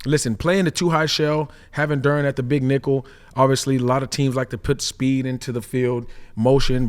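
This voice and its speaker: Male ex-player analyst, medium pitch, conversational and explanatory. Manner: explanatory, often checks with "right?". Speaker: former player turned football analyst. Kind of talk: casual sports analysis.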